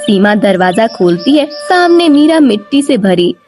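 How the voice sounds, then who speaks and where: A woman's voice: fairly high pitch, very animated, a little slow, a little mellow. A woman reading scripted narration for a moral story as its storyteller. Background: background music.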